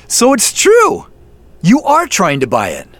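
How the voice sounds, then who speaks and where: A defensive young man caught snooping on a farm. His voice is high and swings widely as he argues back, and it is recorded close and dry, with no room sound. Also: fairly bright tone, no echo, full character voice. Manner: defensive, accusing. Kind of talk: audio drama acting.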